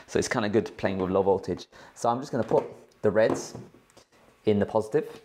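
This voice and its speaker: A man's voice: lowish voice, a little mellow, a little hiss or hum. A man hosting a tech tutorial in a casual, informal, slangy way, explaining things step by step. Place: indoors at a cluttered work desk.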